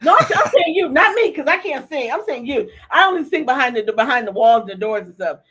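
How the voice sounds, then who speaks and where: Woman interview host, husky-edged, chatty, repeats herself, casual call-in feel. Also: high voice, expressive, a little fast, fairly bright tone. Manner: chatty, stumbling restarts and repeated words. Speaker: podcast host. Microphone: remote streaming call.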